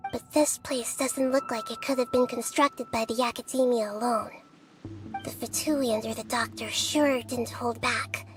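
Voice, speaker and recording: Casting: woman playing wise girlish deity for fantasy game, high soft voice, composed and inquisitive, music underneath. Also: steady tone, natural voice. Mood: explanatory. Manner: measured, analytical, articulate. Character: calm, wise, inquisitive young girl-like deity. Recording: music behind the voice.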